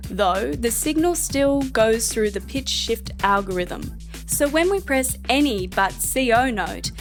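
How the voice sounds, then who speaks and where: A woman with a somewhat high-pitched voice delivers scripted tutorial narration for a piece of software. She explains things in a measured way. Faint music sits behind her voice.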